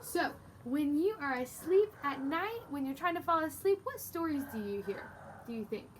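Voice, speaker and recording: A woman teaching young children through an interactive read-aloud, animated and exaggerated, prompting with questions and praise, with some background noise. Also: high-pitched, normal speed. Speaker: teacher reading a picture book aloud to young pupils.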